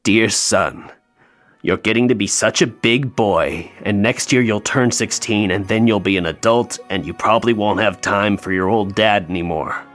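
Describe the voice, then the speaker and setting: An actor playing a bitter, grieving North Pole elf in an audio play, sarcastic and emotionally open. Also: male voice, fairly low pitch, normal speed, a bit bright, soft background music, lightly acted. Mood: tender, moved.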